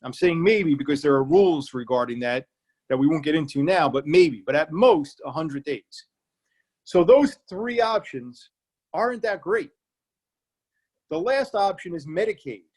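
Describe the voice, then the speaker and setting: A man's voice: on the high side, animated, measured pace, darkish tone, husky. A man with an Irish accent, an elder law attorney giving a seminar talk, plain-spoken and repeating key points so listeners remember them. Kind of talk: public library seminar talk. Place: remote online presentation.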